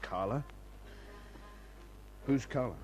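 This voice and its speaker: An actor playing a cool, wary private detective in an old-time radio crime drama, speaking in a terse, wry, clipped way. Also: male voice, mid-range voice, slow pace, a little mellow, natural voice. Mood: cool, suspicious. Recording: old radio recording with faint music.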